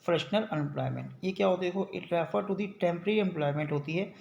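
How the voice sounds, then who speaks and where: A male teacher giving an economics lesson, reading English definitions slowly and explaining them quickly. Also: high-pitched, gravelly, a little mellow, lo-fi sound. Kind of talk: classroom economics lecture. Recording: echoey room with faint ambient noise.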